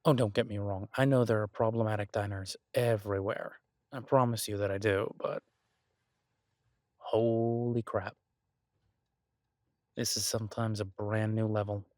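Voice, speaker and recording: A man's voice: fairly low pitch, steady tone, a little slow, pauses often, darkish tone, no room sound, studio quality, close to an everyday voice. A man playing an exasperated yet affectionate chef boyfriend in a roleplay audio, ranting incredulously and conversationally to his partner. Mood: exasperated, bemused. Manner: ranting, incredulous, emphatic with repeated words. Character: exasperated but affectionate human boyfriend, restaurant chef for supernatural guests.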